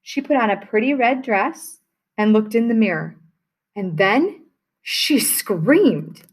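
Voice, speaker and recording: A woman's voice: fairly high pitch, husky, poor audio. A woman teacher reading a children's picture book aloud to her class, telling it with expression. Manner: slow, expressive storytelling.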